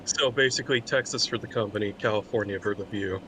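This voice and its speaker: A man's voice: lowish voice, fast, sharp tone, a little hiss or hum, rough recording. A man bantering casually as a co-commentator in a group chat.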